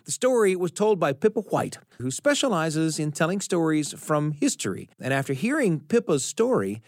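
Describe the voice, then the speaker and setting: A man hosting a radio show and telling stories in a deliberate, scripted style with dramatic phrasing. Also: a little high, sing-song, a little mellow, dry recording, studio quality. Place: radio studio.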